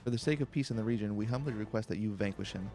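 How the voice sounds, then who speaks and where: A man reading video game dialogue aloud in a calm, slow, deliberate way for a let's play. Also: fairly low pitch. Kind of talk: calm, slow-paced read-aloud narration. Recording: faint background music. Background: faint game music.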